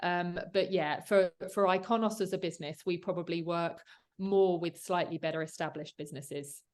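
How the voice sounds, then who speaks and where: This is a woman solicitor speaking as a guest in a relaxed interview. Her voice sits slightly low. She explains patiently, with plenty of ums and small restarts along the way. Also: moderate pace. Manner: earnest, explanatory, with frequent fillers and restarts. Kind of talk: conversational interview.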